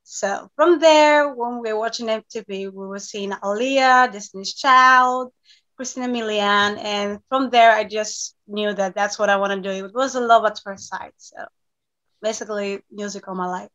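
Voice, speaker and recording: A woman's voice: mid-range voice, normal speed. Bubbly young woman on a dull call. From Greece. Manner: bubbly, laughs often, expressive. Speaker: guest singer-songwriter. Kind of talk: casual interview. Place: home room, remote video call. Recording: slightly dull lo-fi video call.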